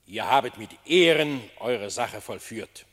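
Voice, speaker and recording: An actor playing a gracious, commanding lion king in a German radio play, speaking in a stately, measured way. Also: mid-range voice, expressive, fairly bright tone, long reverb tail. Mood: regal.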